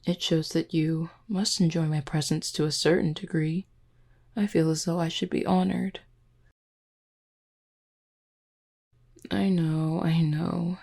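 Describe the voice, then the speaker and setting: Woman voicing a husky, low, flirty werewolf woman. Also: steady tone, darkish tone. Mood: playful, teasing. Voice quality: low, husky, breathy with some creak. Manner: teasing, measured, deliberate wording. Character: flirty, composed female werewolf pack second-in-command. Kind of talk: roleplay audio drama, intimate one-sided dialogue.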